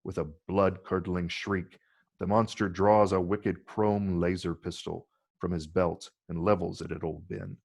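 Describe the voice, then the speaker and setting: A man with a gravelly, husky voice reading stage directions as scripted narration. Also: sits low, little pitch movement, a little slow, darkish tone.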